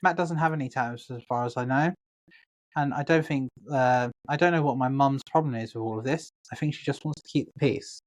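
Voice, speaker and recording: A man's voice: medium pitch, brisk. Guy cohosting a chatty podcast, reads stuff out, swears a lot, easygoing. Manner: laid-back, sweary, jokey. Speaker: podcast co-host. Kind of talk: casual chat, reading posts aloud.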